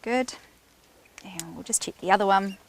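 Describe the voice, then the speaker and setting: A woman's voice: mid-range voice, normal speed. A woman audiologist talking a client through steps in an informal, reassuring, conversational way. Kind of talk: conversational clinical explanation to a client. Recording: clear background noise, clicks.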